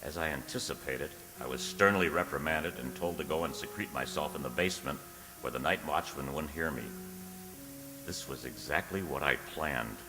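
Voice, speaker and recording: A man acting as an anxious, romantic captive in a radio play. His very deep voice has a dramatic diary-like delivery. It resounds in an echoey hall, with music behind. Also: normal speed. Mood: brooding, anxious. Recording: echoey hall, long reverb.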